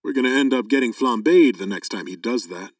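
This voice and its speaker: A wry, watchful sci-fi lieutenant played by a man, with a clear, crisp voice and casual delivery. The audiobook dialogue sounds dry, set slightly back from the microphone. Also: medium pitch, animated, a little fast, dry recording, distant mic, close to an everyday voice. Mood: wry urging. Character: wary, wry young male lieutenant in sci-fi adventure.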